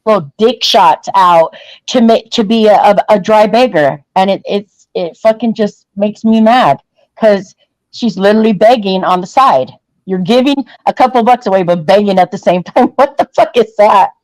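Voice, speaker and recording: A woman's voice: medium pitch, normal speed, darkish tone, dry recording, rough recording. A woman co-hosting a livestream, gossiping in casual chat and ranting bluntly with a lot of cursing. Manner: reads viewer comments aloud. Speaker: livestream co-host.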